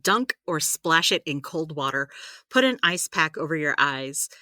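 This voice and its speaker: An actress playing a practical, gently caring supernatural healer who explains things in a chatty, rambling way in an audio drama. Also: female voice, low voice, lively intonation, unhurried, no room sound, studio quality, exaggerated voice. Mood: chatty, instructive.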